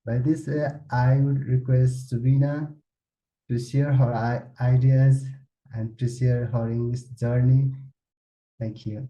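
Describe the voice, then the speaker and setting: A man's voice: medium pitch, level tone, takes it slowly, dark tone, roomy sound, poor audio. A man from Nepal with a Nepali accent giving plain, repetitive advice on learning English. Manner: plain, advisory, repetitive phrasing. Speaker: speaker sharing his English learning story.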